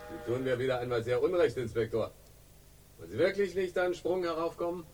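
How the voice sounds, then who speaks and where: An actor playing a suave, slippery crime boss in a radio drama, urbane and ironic, with smoothly evasive talk in a husky voice. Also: male voice, medium pitch, expressive, a little fast, darkish tone, far from the mic, lightly acted, poor audio. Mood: smoothly hospitable. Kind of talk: 1960s German radio crime drama acting.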